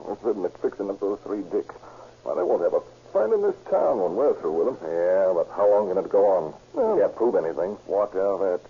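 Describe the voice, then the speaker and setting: A man's voice: low-pitched, sing-song, fairly quick, a little hiss or hum. An actor playing a brazen, scheming career robber with slangy tough-guy talk in an old radio crime drama. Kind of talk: 1940s radio acting. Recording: muffled vintage radio recording.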